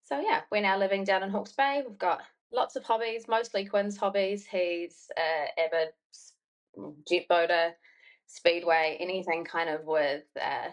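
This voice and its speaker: A woman's voice: fairly low pitch, steady tone, a little slow. A young woman from New Zealand with a New Zealand accent, a podcast guest chatting loosely and telling a story, full of fillers. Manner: chatty, rambling, many fillers and "like". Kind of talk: casual storytelling interview.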